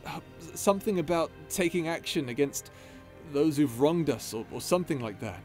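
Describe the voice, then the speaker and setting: A man's voice: a little high, moderate pace, darkish tone, soft background music, close to an everyday voice. A man playing a hot-headed, hurt young son who confronts his father in an audio drama, indignant and stammering. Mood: uneasy, defensive. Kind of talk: scripted audio roleplay drama.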